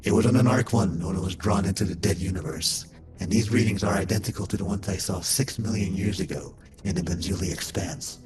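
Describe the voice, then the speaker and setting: A man's voice: deep voice, a little fast, over music, natural voice. A man voicing a grave, ancient robot warrior in a fan dub, solemn and formal. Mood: foreboding. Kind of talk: scripted fan dub of a comic.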